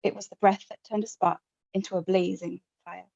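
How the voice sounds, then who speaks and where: Mellow-voiced woman author, lo-fi audio. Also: mid-range voice, flat delivery, creaky. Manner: measured reading, then halting, thoughtful speech. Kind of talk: reading from her novel, then interview answers.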